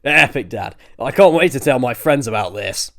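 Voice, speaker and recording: A man's voice: mid-range voice, expressive, moderate pace, vocal fry. A man voicing a sulky, grumbling young adventurer lad in an audiobook. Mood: excited.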